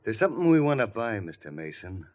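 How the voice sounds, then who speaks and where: A shady gangster from a 1940s radio mystery, speaks in a low voice. He is sly and insinuating, talking in tough slang with quiet menace. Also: big pitch swings, quick pace. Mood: menacing, persuasive. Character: shady gangster man, quietly menacing, offering murder money.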